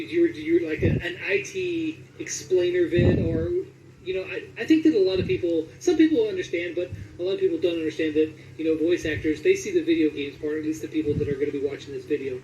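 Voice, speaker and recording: A man's voice: a little high, lo-fi sound. A man casually interviewing a guest in loose, conversational questions. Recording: roomy echo, distant mic, faint noise.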